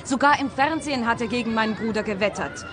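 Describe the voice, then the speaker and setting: An agitated, reproachful teacher in a radio mystery, acted by a woman. The voice is somewhat high, with clipped and precise indignation, and a crowd is audible in the background. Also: quick pace, background noise, natural voice. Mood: indignant. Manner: curt, reproachful, precisely spoken. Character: agitated, indignant female teacher, a demanding client. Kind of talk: radio crime play acting.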